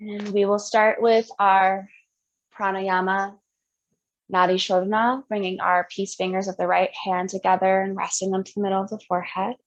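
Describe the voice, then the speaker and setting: A woman's voice: medium pitch, flat delivery, moderate pace. Woman guiding breathwork, dull lo-fi sound. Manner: gentle step-by-step cues in short phrases. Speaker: yoga and meditation instructor. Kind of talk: guided breathing meditation instruction.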